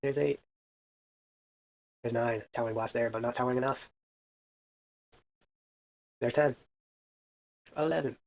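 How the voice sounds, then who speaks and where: A man's voice: mid-range voice, fairly even intonation, a little slow, creaky, pauses often. A man casually commentating a baseball video game, counting hits aloud and adding self-deprecating asides. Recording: faint background music.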